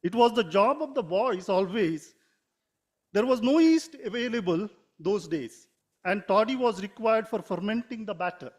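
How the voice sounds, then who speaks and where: High-voiced Indian man giving formal speech. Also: expressive, darkish tone. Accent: Indian English. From Mangalore, India. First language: Konkani. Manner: measured, formal reading with occasional self-corrections. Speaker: writer giving a talk.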